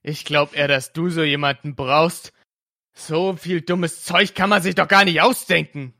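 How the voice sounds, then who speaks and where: Man doing an angry young detective, bright voice, defiant, shouting. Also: mid-range voice, fairly even intonation, moderate pace, dry recording, studio quality, natural voice. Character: irritable young adult male detective.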